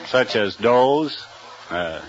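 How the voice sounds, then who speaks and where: A man who is a game warden answers as a radio quiz contestant, earnest and stiff, halting with many uhs. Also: mid-range voice, animated, very noisy. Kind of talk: hesitant guest answers. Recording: dull archive radio recording.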